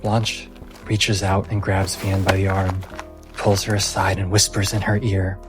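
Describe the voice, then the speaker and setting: Low-voiced man as game master. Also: little pitch movement, a little slow, music in the background. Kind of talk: tabletop game narration and casual recap.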